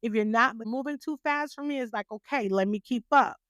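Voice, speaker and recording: A woman's voice: fairly high pitch, brisk, a bit bright, clean tone, dry recording, studio quality. A woman hosting a podcast, giving a motivational talk full of rhetorical questions and repeated phrases, with a voice she calls graceful yet stern. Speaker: podcast host.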